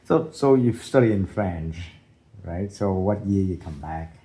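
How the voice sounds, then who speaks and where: A man's voice: low voice, animated, unhurried, dark tone. A man interviewing a guest, asking short questions and reacting with brief encouragement. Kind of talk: interview prompts.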